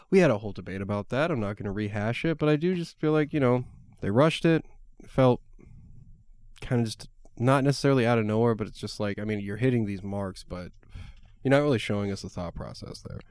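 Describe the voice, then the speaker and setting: A man's voice: on the low side, a little fast, long pauses, a little mellow, smooth, no echo. A young man chatting casually about a film, rambling with lots of fillers and swearing freely. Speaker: podcast co-host reviewing a film. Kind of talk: casual chat.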